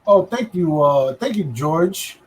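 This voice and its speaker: A man in his thirties co-hosting a casual livestream, joking and chattering fast with his friends and the chat. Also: a little high, lively intonation, very noisy. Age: 30s. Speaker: livestream co-host.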